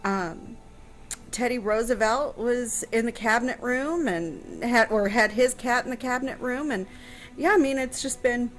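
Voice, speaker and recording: A woman's voice: on the high side, measured pace, soft background music. A woman chatting casually on a radio show, answering a history question with lots of fillers and restarts.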